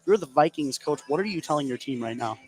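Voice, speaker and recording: An American man doing excitable live play-by-play basketball commentary for radio, narrating the action in running phrases. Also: fairly high pitch, fast, faint music behind. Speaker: play-by-play sports announcer.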